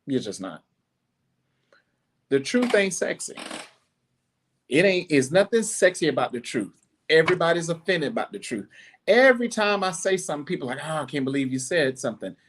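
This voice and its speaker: A middle-aged man hosting a podcast, giving a blunt, preachy monologue with animated asides. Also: on the high side, normal speed, pauses often, slight background noise. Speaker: podcast host. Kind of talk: casual monologue.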